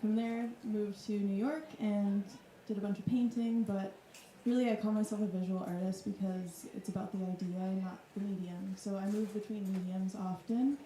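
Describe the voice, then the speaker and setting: Mellow-voiced woman artist in her thirties. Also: mid-range voice, steady tone, normal speed, hardly any pauses, slight background noise. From Wisconsin, US. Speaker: artist guest. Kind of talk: casual reflective talk. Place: club room with audience. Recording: echoey room.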